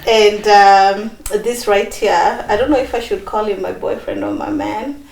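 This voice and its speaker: A woman's voice: medium pitch, animated, unhurried, darkish tone. A bubbly woman in her thirties with a Kenyan accent tells her personal story in a chatty way. Her voice sits mid-range for a woman. An echo fills the room around her. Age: 30s. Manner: bubbly, chatty, with many fillers and laughs. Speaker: interview guest.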